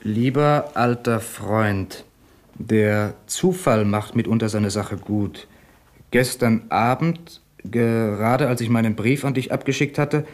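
An actor playing a deferential, chatty police assistant in a 1970s radio crime drama, matter-of-fact and good-humoured. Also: male voice, medium pitch, close to an everyday voice. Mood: reading aloud.

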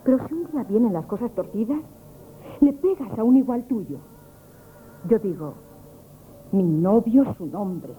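Actress as dark-toned, feisty young woman. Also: medium pitch, expressive, unhurried, pauses often, natural voice. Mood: indignant. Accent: Spanish from Spain. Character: kind-hearted but feisty young shopkeeper's daughter. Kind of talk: 1970s radio comic theatre acting. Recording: dull, noisy vintage radio broadcast.

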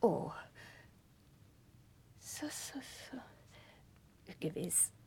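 An actress playing an intense, bitter, sharp-tongued gentlewoman in a 1960s German radio play, probing with feigned-naive questions in a breathy, urgent voice. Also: drawn-out words, whispered. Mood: brooding, mock surprise.

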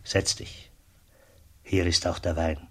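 An actor playing a kindly, plain-spoken border customs officer in a German radio drama, with a husky voice and simple, friendly manner. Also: male voice, low-pitched, little pitch movement, takes it slowly, heavily acted. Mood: generous.

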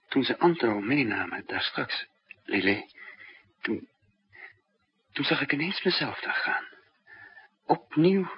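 An actor playing a troubled spy living under a false identity in a 1960s radio drama, brooding and hesitant, with dramatic pauses. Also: male voice, on the low side, slightly rough, exaggerated voice. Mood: grave, confessing. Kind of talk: 1960s radio drama acting and first-person narration. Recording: muffled, dull 1960s radio recording.

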